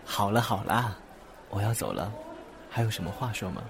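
An actor playing a good-natured, lovestruck young boyfriend with a mock-dramatic manner in a Mandarin radio drama. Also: male voice, on the low side, very animated, normal speed, creaky, noisy background. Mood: joking, reluctant. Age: young adult.

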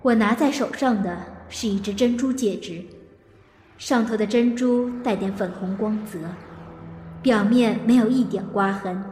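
A woman's voice: on the high side, measured pace, a little mellow, close to an everyday voice. An actress playing a woman narrating her inner observations in a reverberant audio drama. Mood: observant, hushed. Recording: heavily reverberant, slight noise.

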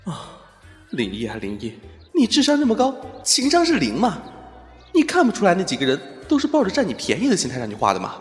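A man playing a flustered, exasperated high-school boy with a secret crush in an amateur audio drama. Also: wildly swooping pitch, fast, long reverb tail, soft background music.

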